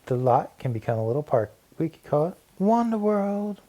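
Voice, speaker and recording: A man plays a young storybook schoolgirl who knows what she wants. The voice is pitched up, impatient and insistent, with lively emphatic swings. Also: moderate pace. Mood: eager.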